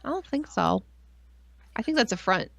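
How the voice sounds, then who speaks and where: A woman's voice: on the high side, very animated, normal speed, gravelly. A woman co-hosting a casual stream, joking crudely in a blunt, profane, irreverent way. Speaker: co-host of a weekly pop culture stream.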